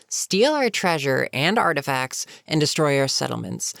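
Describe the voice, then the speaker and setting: Voice actor as a gravelly, bitter goblin captain. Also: medium pitch, dry recording, studio quality. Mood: bitter, scornful. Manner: sardonic, unhurried, matter-of-fact threats. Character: bitter, menacing goblin captain holding a human captive. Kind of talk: scripted fantasy audio roleplay, addressing the listener.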